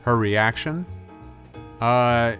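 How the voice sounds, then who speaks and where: A man's voice: medium pitch, drawn-out words, a bit bright, soft background music. A man hosting a scripted video essay in a conversational style, as a film commentator.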